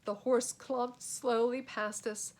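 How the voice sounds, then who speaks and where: A woman reading a children's book aloud as a storyteller, in an expressive, dramatic way. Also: a little high, takes it slowly. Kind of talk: animated children's book narration.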